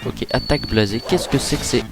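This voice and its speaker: A man giving casual, chatty let's-play commentary over a game, full of fillers and quick reactions. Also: medium pitch, expressive. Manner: chatty, filler-heavy, easily impressed. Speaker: gaming YouTuber. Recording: game music playing behind.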